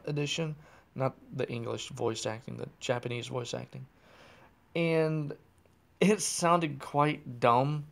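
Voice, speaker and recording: An American man hosting a podcast alone, chatting casually in a rambling way and often correcting himself mid-sentence, recorded while driving. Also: a little high. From United States. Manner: rambling, restarts and self-corrections. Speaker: podcast host. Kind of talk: casual solo chat. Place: in a car, driving.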